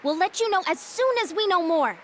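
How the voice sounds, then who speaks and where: A woman voicing a brisk, take-charge young girl in an animated game. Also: very high-pitched. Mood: brisk, commanding. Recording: faint background noise.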